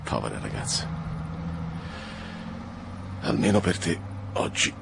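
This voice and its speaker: An actor playing a weary, compassionate police sergeant-major in an Italian radio drama, hesitant and understated. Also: male voice, extremely low pitch, big pitch swings, slow pace, creaky, full character voice. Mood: gentle pity. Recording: noisy, with vehicle ambience.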